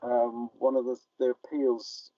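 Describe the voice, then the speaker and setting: A man's voice: medium pitch, flat delivery, a little slow, mellow, no room sound. An older man with a British accent answering interview questions conversationally as a playwright, a little hesitant, over a remote call line. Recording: dull, band-limited call line.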